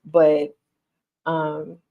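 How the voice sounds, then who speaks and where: A woman's voice: low voice, drawn-out words, phone-quality sound. A woman, chatting casually and animatedly as a podcast host on a livestream. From North Carolina, US. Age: 30s. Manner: chatty, animated, addresses listeners as y'all.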